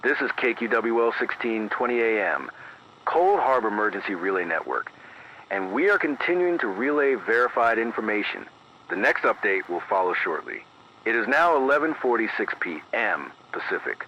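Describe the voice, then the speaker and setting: A man playing a grave, careful late-night emergency announcer, reading a formal scripted bulletin with measured, precise delivery through an AM radio filter. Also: lowish voice, sing-song. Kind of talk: scripted analog horror emergency news bulletin. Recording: dull band-limited AM radio, faint noise.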